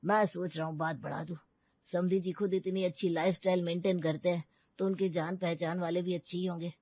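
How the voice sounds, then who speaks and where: A woman voices an earnest father in an audio drama. The voice is lowered. The recording is dry and a little muffled. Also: little pitch movement, brisk, no room sound. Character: earnest father of a grown daughter, arranging her marriage. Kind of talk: Hindi audio drama acting.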